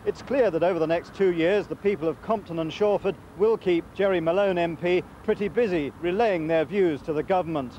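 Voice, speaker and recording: A male reporter delivers a scripted news piece in a fairly high voice. The recording is slightly dull, with faint outdoor traffic noise behind. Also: fairly quick, few pauses, mellow.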